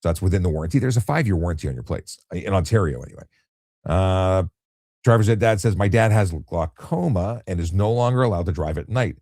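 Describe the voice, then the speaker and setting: Low, husky man. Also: a little fast, dry recording, clean studio sound. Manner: calm, explanatory, many restarts and fillers. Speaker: retired traffic police officer, live-stream host. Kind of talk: relaxed late-night live chat reading viewer questions.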